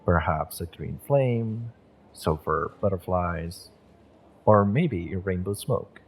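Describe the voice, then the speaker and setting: Man playing a deep, husky, unhurried fortune teller. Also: full character voice. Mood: calm, guiding. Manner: measured, mystical, reassuring. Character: gentle, encouraging male magick shop owner and tarot reader. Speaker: voice actor in an audio roleplay. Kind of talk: intimate scripted roleplay, speaking to the listener.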